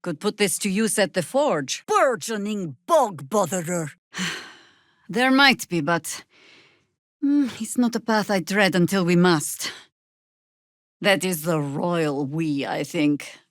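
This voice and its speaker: Older druid fighter lady for a fantasy game, lowish weathered voice, real slow n measured, dry wit, bossy. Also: animated, no room sound. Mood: wry, resolute. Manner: wry, dry, formal archaic phrasing. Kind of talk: video game character acting.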